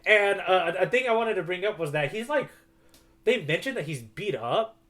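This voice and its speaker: A man's voice: high-pitched, lively intonation, moderate pace, fairly bright tone. A man co-hosting a podcast, chatting casually in a rambling, enthusiastic way. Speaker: podcast co-host. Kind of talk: casual chat.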